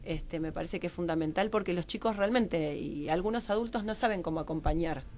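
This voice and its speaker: A woman's voice: on the low side, a little fast, a little mellow, a little hiss or hum. A woman with an Argentine Rioplatense accent speaking reflectively as a radio interview guest, explaining in a conversational way and often seeking agreement with tag questions. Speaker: guest, psychology professional who trains others.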